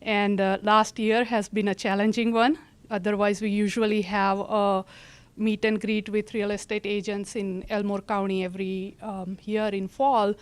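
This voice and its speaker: A middle-aged woman from India with an Indian accent, presenting as a government official in a polite, measured, explanatory way. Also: mid-range voice. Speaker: county government director presenting. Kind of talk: prepared presentation to an audience.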